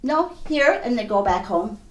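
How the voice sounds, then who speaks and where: A woman from Hawaii and California, telling her own story casually and haltingly as an interview guest. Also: a little high, sing-song, highs cut off. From Hawaii and San Mateo County, California. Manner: conversational, halting, repeats "you know". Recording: roomy, echoey space.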